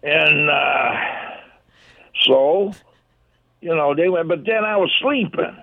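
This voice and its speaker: This is an older man calling in to a radio show, his voice gruff and a touch high. He wanders from thought to thought in a folksy, easygoing ramble. The sound is narrow and tinny, like a telephone line. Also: expressive, slow pace. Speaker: caller. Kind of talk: casual phone-in chat.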